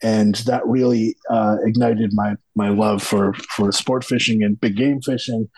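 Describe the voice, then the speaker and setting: A man in his fifties from Massachusetts, telling his background in plain, relaxed interview answers. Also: lowish voice, unhurried, slightly rough, poor audio. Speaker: marketing guest.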